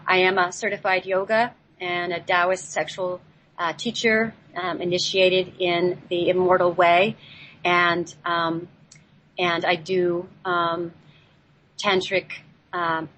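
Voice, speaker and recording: A woman telling a personal story on a talk show in a halting, reflective way, picked up by a laptop microphone. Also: on the low side, level tone, a bit bright. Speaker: guest telling their life story. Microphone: laptop built-in microphone, not headset. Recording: noisy, off-mic laptop microphone.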